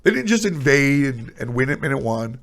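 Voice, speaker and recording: A man talking casually and bluntly on a live stream, his voice stuffy and nasal from a cold. There's a gravelly rasp at the bottom of his voice. His pitch moves widely as he throws out opinions and quick asides. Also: big pitch swings. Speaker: streamer discussing esports.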